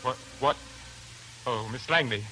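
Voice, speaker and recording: An actor playing a furtive, feverish lodger with dark obsessions in a theatrical, halting 1940s radio thriller style. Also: male voice, medium pitch, slight background noise. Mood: agitated, evasive. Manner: theatrical, brooding, halting delivery. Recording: old 1940s radio broadcast, music behind.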